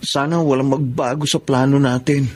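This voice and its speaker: An actor playing a devoted but insecure young boyfriend in a Tagalog radio drama. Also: male voice, medium pitch, fairly even intonation, moderate pace, a little mellow, a little hiss or hum, close to an everyday voice. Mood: tender, hopeful.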